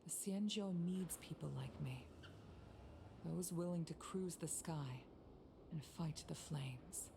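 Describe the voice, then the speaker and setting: Low-voiced solemn woman commander. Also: vocal fry, background noise, heavily acted. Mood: grave resolve. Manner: formal, measured, grave delivery. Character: solemn female military commander, proud, grieving, resolute. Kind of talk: scripted video game acting.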